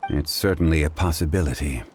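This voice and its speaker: A man voicing a composed, erudite gentleman in a video game, with a formal, measured, grave manner. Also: a bass voice, faint music behind. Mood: grave concern.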